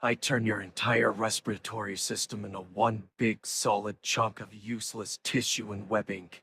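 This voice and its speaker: An actor playing a vengeful, menacing superhero in a comic-book drama, speaking with deliberate, measured pauses and a gravelly edge. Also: male voice, on the low side, level tone, bright, forceful tone, a little hiss or hum. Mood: cold, threatening. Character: vengeful, menacing male superhero threatening his enemy. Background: music.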